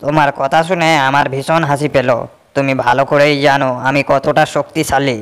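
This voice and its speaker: An actor playing an arrogant, threatening army commander in a cartoon, talking in a smug and menacing way. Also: male voice, medium pitch, flat delivery, a little fast, fairly bright tone, smooth, lightly acted. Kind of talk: cartoon voice acting.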